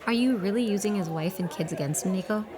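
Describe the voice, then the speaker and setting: A woman's voice: mid-range voice, quick pace, crowd noise, close to an everyday voice. A woman playing a guarded, level-headed operative in a naturalistic drama, dry and pointed. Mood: tense, challenging. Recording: music under dialogue.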